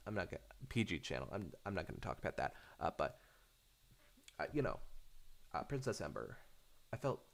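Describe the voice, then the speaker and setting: A man with a low, creaky voice talks off the cuff like a fan channel host. The delivery is halting and repetitive as thoughts form, yet the pitch moves around in a lively way. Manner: hesitant, halting, repeats words as they think aloud. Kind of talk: casual unscripted rambling fan commentary.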